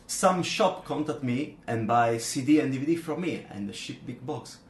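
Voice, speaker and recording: Halting man with Italian accent. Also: mid-range voice, lively intonation, roomy sound, a little hiss or hum. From Milan, Italy. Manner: halting, simple English with many fillers. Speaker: guest.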